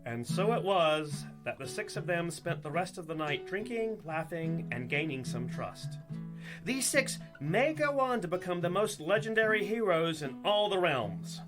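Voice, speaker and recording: A man's voice: high voice, sing-song, a little slow, music in the background. A man narrating a scripted fantasy adventure as its Dungeon Master.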